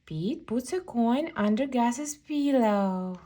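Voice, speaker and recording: A woman reading a children's picture book aloud, telling the story in an expressive, deliberate way for young kids. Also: fairly high pitch.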